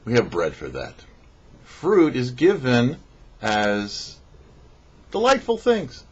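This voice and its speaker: Male rabbi for scripted teaching segment: husky, very animated intonation, didactic, slightly muffled recording. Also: medium pitch, slow pace. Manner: didactic, poses questions and answers them. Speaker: rabbi giving a weekly Torah portion talk. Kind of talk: scripted religious teaching, read aloud.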